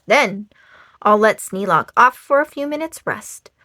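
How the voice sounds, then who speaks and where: A woman reading a rhyming children's book aloud in a theatrical, expressive and playful storytime manner. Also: on the high side, unhurried, dry recording. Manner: dramatic, expressive, playful emphasis.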